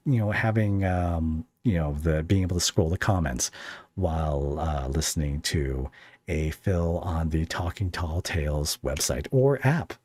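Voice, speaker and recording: Very deep-voiced man, rambling podcast host. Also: darkish tone, dry recording. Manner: hesitant, rambling phrasing. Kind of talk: casual interview chat.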